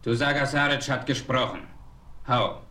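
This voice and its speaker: A man's voice: mid-range voice, normal speed, sharp tone, reverberant, lightly acted. A man playing a formal, dignified chief. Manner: formal, ceremonial speech. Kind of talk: 1970s radio play acting.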